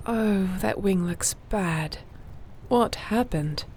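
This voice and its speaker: A woman playing a gentle, wry warrior shifter with healing magic in an intimate fantasy audio roleplay, speaking softly and soothingly without hurry. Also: medium pitch, slow pace, a little hiss or hum. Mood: tender, concerned.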